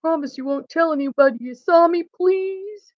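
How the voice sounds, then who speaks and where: A woman's voice: very high voice, normal speed, dry recording, lo-fi sound, pitched above the performer's own voice. A woman voicing a jolly old man, Father Christmas, in a hearty children's storybook manner. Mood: pleading. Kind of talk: children's storybook read aloud.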